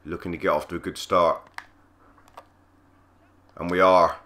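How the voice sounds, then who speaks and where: A man with a cold, husky and croaky, giving easygoing play-by-play commentary on a cricket video game. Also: low-pitched, little pitch movement, a little slow, bright, forceful tone. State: hoarse from a cold. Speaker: gaming channel host.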